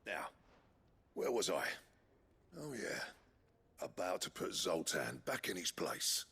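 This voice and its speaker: An actor playing a proud, stubborn older master blacksmith in a video game, gruff and raspy. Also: male voice, deep voice, sing-song, slow pace, pushed and bright, exaggerated voice. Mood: smug, pleased. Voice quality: raspy, gravelly. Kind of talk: dramatic video game dialogue.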